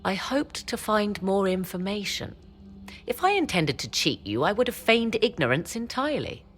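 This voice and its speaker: An actress playing a proud, guarded and ambitious sorceress in a fantasy game, speaking in formal, measured, haughty phrases. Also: female voice, mid-range voice, very animated, soft background music, lightly acted. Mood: cool, resolute. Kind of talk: dramatic scripted game dialogue.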